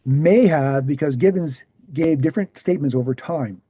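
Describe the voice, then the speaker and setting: A man acts out an earnest author lecturing at a table read. His voice is very dark and relaxed, pitched a little high for a man. He explains things in a measured, emphatic way, in a dry close recording. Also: husky, dry recording. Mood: measured, factual.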